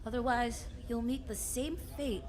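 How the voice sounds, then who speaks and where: A woman's voice: a little high, steady tone, measured pace, darkish tone, close to an everyday voice. A woman playing a sassy, unflappable female private detective in a noir radio drama, wry, breezy and slangy. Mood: cool, teasing.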